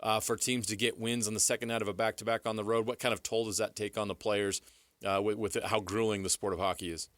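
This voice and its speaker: A male sports radio host with a fairly low but bright, forceful voice. He interviews conversationally, and the sound is dry and close. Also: little pitch movement, fast, dry recording.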